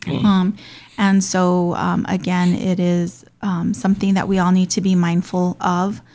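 A woman's voice: on the low side, little pitch movement, unhurried, a little mellow. A woman who grew up in Hawaii, hosting and talking in a casual, earnest way with frequent ums. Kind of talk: casual conversational talk.

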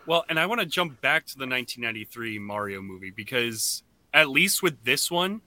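A man co-hosting a podcast in casual chat, often halting and restarting his thoughts. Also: medium pitch, expressive, sharp tone. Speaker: podcast co-host.

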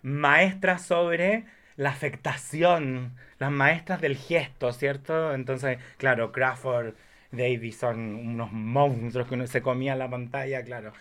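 A man's voice: mid-range voice, slow, fairly bright tone. Effusive, campy man. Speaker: podcast guest. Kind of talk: casual film chat.

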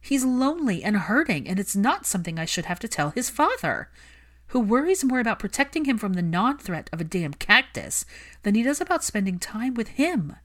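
A woman's voice: medium pitch, sing-song, moderate pace, pitched above the performer's own voice. A woman voicing an outspoken, emotional and indignant female caregiver in a story, with an emphatic, argumentative delivery.

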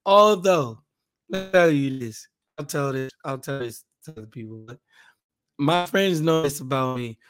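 A man with a hoarse, raspy voice, hosting a casual Bible podcast and leading a fervent spoken prayer. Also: mid-range voice, expressive, a little slow, a bit bright, faint music behind. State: hoarse, raspier than usual.

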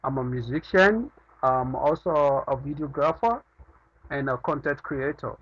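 A man's voice: mid-range voice, unhurried, slightly rough, dull audio. A man from Nigeria joining a live online session as a participant, hesitant and searching for words under time pressure.

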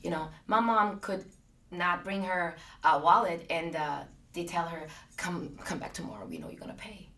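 A woman, a guest in an interview, speaking earnestly and reflectively, often pausing on fillers. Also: fairly low pitch, a bit bright, distant mic. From Austria. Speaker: guest, business founder. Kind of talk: conversational interview.

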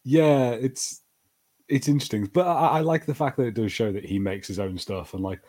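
A man's voice: mid-range voice, moderate pace, a little mellow. A man co-hosting a podcast, chatting casually as he reviews TV shows. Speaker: podcast co-host.

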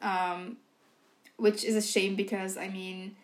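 A woman's voice: medium pitch, level tone, takes it slowly. A young Dutch-speaking woman from Belgium, co-hosting a casual podcast chat and filling her talk with "like" and "um". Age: young adult. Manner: chatty, heavy use of "like" and "um". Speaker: podcast co-host.

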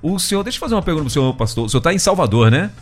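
Chatty Brazilian radio host, faint music. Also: male voice, fairly high pitch, sing-song, racing. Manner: chatty, many tag questions. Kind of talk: morning radio chat.

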